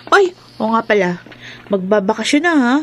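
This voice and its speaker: A sassy young college student in a radio drama, teasing her classmate and ordering him around. Her voice sits high. She sounds playful and bossy. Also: big pitch swings, moderate pace, a bit bright. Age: young adult.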